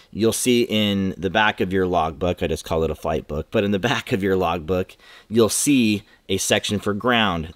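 A man in his thirties, a flight instructor, explaining rules to camera in a relaxed, conversational way from a hangar. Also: low voice, no room sound. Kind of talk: casual instructional talk to camera. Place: aircraft hangar, indoors. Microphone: close camera, seated at desk.

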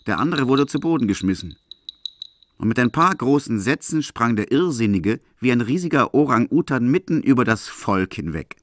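Low-voiced male radio play narrator. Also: a little hiss or hum.

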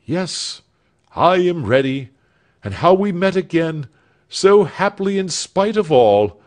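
A man's voice: sits high, very animated, takes it slowly, pitched above the performer's own voice, heavily acted. A man playing an earnest young man bidding a heartfelt farewell to those he loves. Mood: fervent. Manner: fervent, heartfelt. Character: earnest young man, devoted lover departing.

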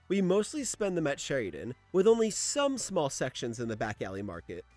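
A man's voice: a little high, no room sound. A young man narrating a video essay as its host, informal and slangy, dropping into casual, sweary asides.

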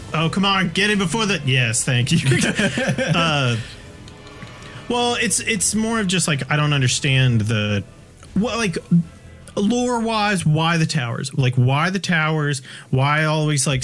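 A man in his thirties chatting casually while playing a video game, swearing freely and often restarting his sentences in a rambling, halting way. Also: fairly high pitch, lively intonation, fairly bright tone, faint music behind. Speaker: video game player and co-host. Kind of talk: casual gaming chat.

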